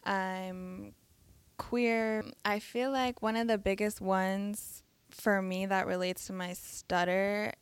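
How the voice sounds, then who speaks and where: A woman's voice: mid-range voice, fairly even intonation, slow, clean tone, no echo. A young woman who stutters, sharing her experience at a live talk with frequent fillers and hesitations. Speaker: audience member sharing her story.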